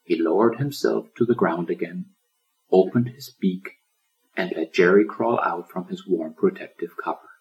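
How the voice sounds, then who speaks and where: A man's voice: deep voice, moderate pace, lo-fi sound. A man reading a children's story as scripted audiobook narration. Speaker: author reading his audiobook.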